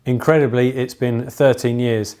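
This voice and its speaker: Male interviewer with a medium-pitched voice. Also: fairly even intonation, normal speed, mellow. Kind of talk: measured, prepared interview questions.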